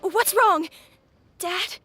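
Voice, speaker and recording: A woman's voice: very high voice, extremely slow, pushed and bright, faint music behind, heavily acted. A woman voicing an earnest, fretful little girl in a video game, childlike and pleading. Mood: frightened, worried.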